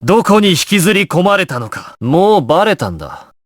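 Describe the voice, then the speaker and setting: A man's voice: high-pitched, very animated, fairly quick, no echo, studio quality. A male actor playing a resolute, composed young gangster squad leader in an anime video game, terse and authoritative in his clipped orders. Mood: cold, confrontational. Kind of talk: Japanese anime game voice acting, dramatic.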